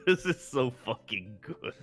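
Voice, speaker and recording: A man co-hosting a fan fiction reading stream with casual, excitable, mocking banter and some swearing. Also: mid-range voice, measured pace. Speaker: co-reader and commentator on stream. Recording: music behind the voice. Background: music.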